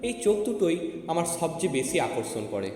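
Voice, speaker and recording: A man's voice: on the high side, big pitch swings, normal speed. A man narrating a scripted first-person audio story. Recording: echoey with long reverb. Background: faint music.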